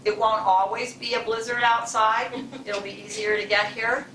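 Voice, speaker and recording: A woman's voice: a little high, steady tone, moderate pace, fairly bright tone, distant mic, a little hiss or hum. A woman, the club president, giving chatty, encouraging welcome remarks to guests in a chilly meeting room. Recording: echoey room, mic from afar.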